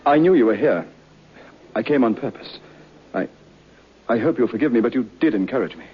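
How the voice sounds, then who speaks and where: A man playing an earnest, polite gentleman narrator in an old-time radio drama. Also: mid-range voice, expressive, a little fast, lightly acted. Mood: earnest, sympathetic. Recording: muffled, faintly noisy old radio recording.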